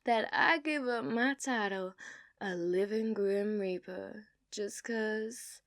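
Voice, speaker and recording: A woman voicing an obsessive, dominant outlaw cowgirl in a put-on Southern accent, for an ASMR roleplay, teasing and dropping into soft whispers. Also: mid-range voice, slow, no echo, clean studio sound. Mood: menacing. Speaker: ASMR performer.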